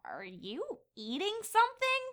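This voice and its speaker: A woman's voice: high voice, huge pitch swings, measured pace, sharp tone, heavily acted. A woman voicing an earnest, easily flustered young female pony princess in a fan fiction audio drama. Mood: apologetic, earnest.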